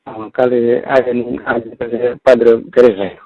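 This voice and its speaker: A man's voice: medium pitch, flat delivery, unhurried, slight background noise. A man with a Marwari accent chats casually on the phone, often repeating short questions and phrases. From Rajasthan, India. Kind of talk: casual private phone chat. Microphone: telephone. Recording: muffled lo-fi phone call recording.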